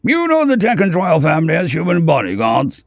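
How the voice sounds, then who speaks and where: A man voicing a stealthy male alien assassin who speaks in a firm, clipped, measured way, over faint music. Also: high-pitched, big pitch swings, creaky, a little mellow, dry recording, clean studio sound, voice pushed up, exaggerated voice. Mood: menacing.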